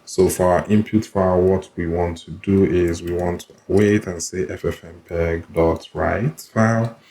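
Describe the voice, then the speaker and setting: A man's voice: deep voice, fairly even intonation, unhurried, mellow. A man walking through code step by step in a screen-recorded tutorial, repeating himself as he explains. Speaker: coding tutorial presenter. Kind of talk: screen-recorded coding walkthrough.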